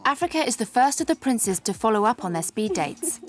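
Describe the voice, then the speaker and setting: A woman's voice: on the high side, big pitch swings, fairly quick. A woman reading scripted narration as the narrator of a documentary. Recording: music behind the voice.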